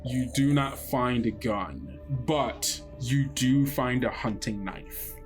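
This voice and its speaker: A man's voice: medium pitch, slow, faint music behind. A man working as game master, narrating a tabletop roleplay in an informal, improvised way.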